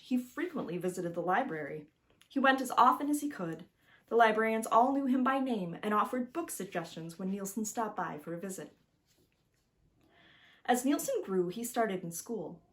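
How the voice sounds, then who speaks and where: Expressive woman reading a children's storybook. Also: medium pitch, normal speed. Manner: addresses the listener directly, emphatic storytelling. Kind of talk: expressive scripted storybook narration.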